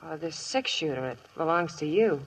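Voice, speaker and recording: A woman's voice: low-pitched, lively intonation, moderate pace, slight background noise, low-quality recording. A woman playing a plucky young frontier woman with a wistful streak in a vintage radio western. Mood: tender, wistful. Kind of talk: 1950s radio western acting.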